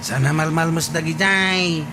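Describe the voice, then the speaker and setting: An actor playing a confident, teasing buddy in an Ilocano radio comedy drama. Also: male voice, on the high side, measured pace, music in the background, lightly acted.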